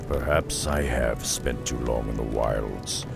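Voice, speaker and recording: A hulking half-orc beastmaster with an extremely low, gravelly growl that crawls along at a slow pace. He speaks in grave, terse lines of a game cutscene, with orchestral music swelling clearly behind him. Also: heavily acted. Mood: solemn. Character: gruff half-orc beastmaster, solitary wanderer.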